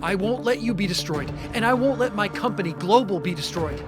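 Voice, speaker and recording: A man's voice: sits high, animated, brisk, loud music behind the voice. A man playing a manipulative cheating businessman fiancé, reassuring and scheming, in a romance audio drama. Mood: reassuring, resolute.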